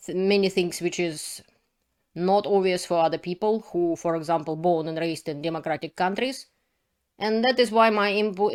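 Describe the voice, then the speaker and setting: A woman from Russia with a Russian accent, a non-native speaker, hosting her own channel and talking through news to camera in halting, loosely built sentences. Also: mid-range voice. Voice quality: non-native grammar, halting phrasing with restarts. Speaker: video channel host. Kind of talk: casual commentary to camera.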